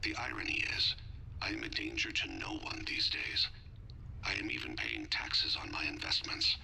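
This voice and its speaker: A man voicing a dying, calm male alien assassin in a video game, speaking in a breathy, formal, measured hush. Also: rumbling low, whispered, exaggerated voice, rough recording. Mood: quiet, wistful. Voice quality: hushed, breathy, gravelly. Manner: measured, formal, softly reflective.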